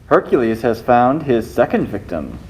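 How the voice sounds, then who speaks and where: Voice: medium pitch, very animated, moderate pace, heavy background noise. A narrator delivering scripted storytelling for a children's puppet show.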